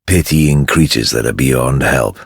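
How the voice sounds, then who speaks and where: A man playing a surly, contemptuous knight in a dark fantasy game, with a gravelly voice and a sneering, condescending drawl. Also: measured pace, no room sound, studio quality, heavily acted. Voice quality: very deep, gravelly. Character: surly, contemptuous, menacing knight guarding a girl.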